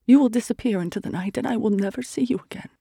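Urgent, worried mother acted by the reader, husky, higher and louder than the narration. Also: fast, dry recording, clean studio sound. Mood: pleading. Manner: urgent, pleading. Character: anxious mother warning her daughter.

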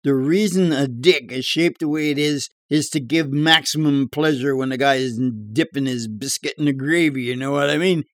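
A man's voice: medium pitch, normal speed, hardly any pauses, a bit bright, no echo, clean studio sound, lightly acted. A man voicing a brash barroom joker in an audiobook, telling dirty yarns in an emphatic, drawling, slangy, conspiratorial way. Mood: cocky storytelling. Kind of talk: audiobook character dialogue.

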